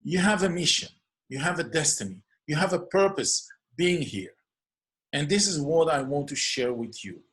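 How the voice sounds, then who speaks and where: An earnest man with an Israeli accent, his first language Hebrew, hosts a solo introduction to his program. His voice sits a little high, and he strings out phrases in lists of near-synonyms. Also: animated, moderate pace, fairly bright tone. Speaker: host.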